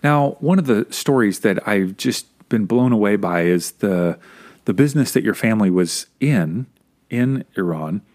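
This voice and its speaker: A man with a somewhat low voice interviews his guest on a podcast. He opens the show and asks questions in an upbeat, encouraging tone. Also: expressive, normal speed, darkish tone.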